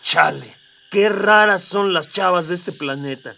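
A man's voice: high voice, a little slow, gravelly, recorded from a distance, music in the background. A man with a Mexican accent playing a cheeky, laid-back, slangy young hero in a comedy radio drama. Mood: baffled. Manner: slangy, casual.

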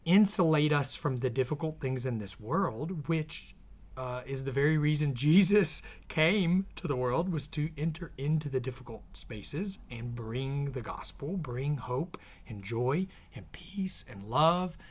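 A man's voice: a little high, lively intonation, unhurried, breathy edge. Man with a scratchy voice. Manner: reflective, earnest, often trails off softly. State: slightly scratchy voice. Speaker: podcast host and author. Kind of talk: casual podcast conversation. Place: in the same room as co-host.

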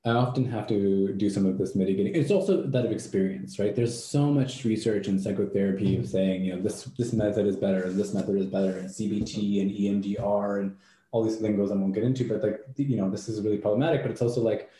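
A man's voice: fairly low pitch, fairly even intonation, fast, mellow. A man from Canada, a psychotherapist, talking earnestly and thoughtfully as an interview guest, often restarting his words. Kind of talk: reflective interview talk. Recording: echoey room.

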